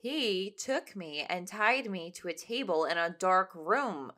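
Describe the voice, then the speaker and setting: A woman's voice: lowish voice, expressive, slow pace, bright, forceful tone, full character voice. An actress playing a wary, insistent woman explorer in an audio drama. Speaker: lead actor in audio drama.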